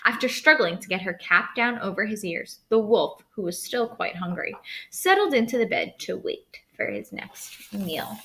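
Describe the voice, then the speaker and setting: A woman teacher reading a storybook aloud to students in an expressive, instructive way. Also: mid-range voice, moderate pace, creaky, a little hiss or hum, rough recording.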